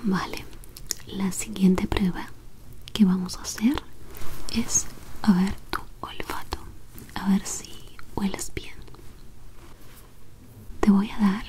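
Slow-whispering young woman an ASMR role play. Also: mid-range voice, poor audio. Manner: soft, slow, step-by-step instructions to the listener. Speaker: ASMR performer playing a medical examiner.

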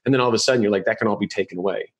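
Fast-talking raspy-voiced male podcast host. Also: lowish voice, muffled recording. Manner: rambling, joking, fast talking with restarts. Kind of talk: casual interview chat.

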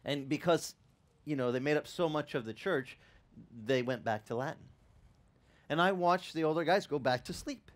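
A man in his fifties preaching outdoors on a beach with a headset mic, talking in a loose, animated way with asides to the crowd. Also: a little high, moderate pace. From Italian-American upbringing. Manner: animated, conversational preaching with restarts and asides to the audience. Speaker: pastor. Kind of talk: outdoor sermon. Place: open-air beach tent service.

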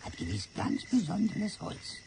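Slow, creaky Chinese sage. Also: male voice, high-pitched, wildly swooping pitch, mellow, slight background noise, full character voice. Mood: solemn storytelling. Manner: broken syntax, swapped r and l, deliberate storytelling. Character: Chinese sage-like man telling an old fable, solemn. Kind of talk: radio play acting.

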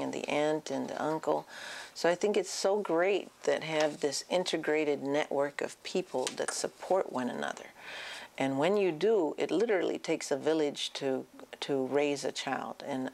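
A woman's voice: sits low, darkish tone, a little hiss or hum. A middle-aged woman speaking to parents as a psychiatrist, explaining her advice with emphasis, recorded on a lapel mic in an office. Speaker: child and adolescent psychiatrist giving advice. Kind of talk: informational talk to camera, addressing parents. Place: small indoor office.

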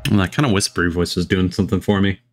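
A man's voice: low voice, level tone, rapid-fire. A man streamer giving casual, blunt live reactions. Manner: blunt, sweary. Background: trailer music.

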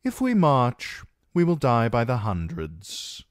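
A man's voice: mid-range voice, wildly swooping pitch, slow pace, no room sound, clean studio sound, voice pushed up. A man voicing a weary, pragmatic young knight who argues with sardonic reasoning. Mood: arguing.

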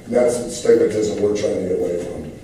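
Hoarse, plainspoken man at a public meeting, slightly low voice, distant mic. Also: quick pace, background noise. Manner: earnest, plainspoken, many fillers. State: losing his voice. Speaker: public commenter at city meeting. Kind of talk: speaking at public comment. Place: large council chamber, standing at podium. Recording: roomy, distant council-chamber recording.

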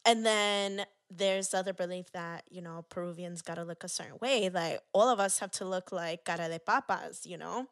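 A woman hosting a podcast, animated and quick to laugh, acting out the people she quotes. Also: fairly low pitch. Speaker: podcast host. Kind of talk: candid interview conversation.

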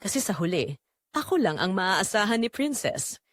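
A woman with a Filipino accent reads dramatic first-person scripted narration as a storyteller, in an emotional, expressive way. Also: medium pitch, moderate pace, no echo, clean studio sound.

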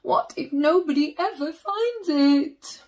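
A woman voicing a big, polite, forlorn bear, with earnest, drawn-out questions, in a children's picture book. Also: sits high, expressive, a little mellow, pitched above the performer's own voice, exaggerated voice. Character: big polite forlorn bear searching for his lost hat.

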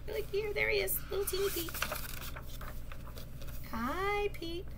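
A middle-aged woman reading a children's picture book aloud in an expressive, sing-song manner. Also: extremely high pitch. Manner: sing-song, expressive, slow and deliberate delivery for young children. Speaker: storytelling teacher reading aloud. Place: small classroom or office, indoors. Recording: clear background room noise.

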